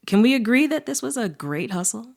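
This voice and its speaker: An actress playing an irreverent, cocky trickster adventurer in an audio drama, speaking and narrating in a casual, wry, flippant way. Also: female voice, fairly high pitch, sing-song, fairly quick, vocal fry, noisy background. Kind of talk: first-person audio drama narration and dialogue.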